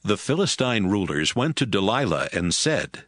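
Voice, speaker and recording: A man narrating scripture in a steady, even storytelling manner. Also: fairly low pitch, moderate pace, no echo, studio quality.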